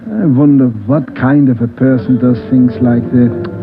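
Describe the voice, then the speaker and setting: An actor playing a mournful, kindly little Dutch restaurant owner, halting and repetitive, in a vintage radio drama. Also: male voice, mid-range voice, steady tone, narrow, tinny audio, faint music behind, lightly acted. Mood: mournful, earnest. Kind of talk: 1950s radio drama acting. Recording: band-limited old radio recording.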